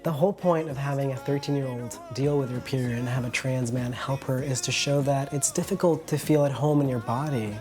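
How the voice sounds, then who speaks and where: A filmmaker giving a passionate, heartfelt voice-over about their film. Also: medium pitch. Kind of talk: documentary voice-over. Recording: voice-over with soft music.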